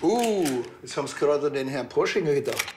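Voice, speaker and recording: An actor with a Bavarian accent playing a chatty small-town policeman, deadpan and colloquial. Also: on the high side, a little fast, very noisy, natural voice. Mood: probing. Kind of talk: TV crime comedy acting.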